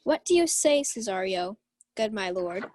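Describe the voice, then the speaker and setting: A woman's voice: medium pitch, animated, normal speed, darkish tone, no echo, clean studio sound, lightly acted. A young woman playing a proud, passionate, imperious Countess in a Shakespeare radio play. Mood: haughty. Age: young adult.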